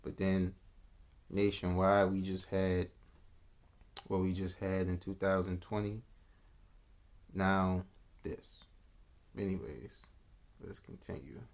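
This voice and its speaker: A man's voice: deep voice, steady tone, slow, long pauses. A man vlogging a casual reaction, musing aloud in a loose, slangy way with restarts and asides. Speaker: vlogger reacting to news clips.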